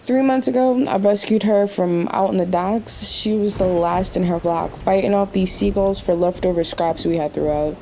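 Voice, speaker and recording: A woman's voice: mid-range voice, normal speed, few pauses, mellow, a little hiss or hum, lightly acted, poor audio. A woman playing a weary, sassy night-shift waitress in a radio play, wry and talkative. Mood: fond, reflective. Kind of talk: naturalistic radio play acting. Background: rain and thunderstorm ambience in a diner scene.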